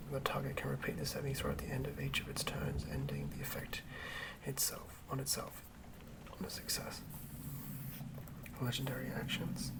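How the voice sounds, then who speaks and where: A person whispering breathily and deliberately close to the microphone, as the host of a fantasy game ASMR read-through. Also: medium pitch, creaky, distant mic, low-quality recording. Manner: hushed, deliberate phrase-by-phrase reading. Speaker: host and game master reading a monster stat block.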